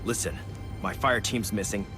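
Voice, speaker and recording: A man playing a gruff, wary soldier leading a team in a video game, terse and commanding. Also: lowish voice, moderate pace, close to an everyday voice. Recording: noise or music behind dialogue.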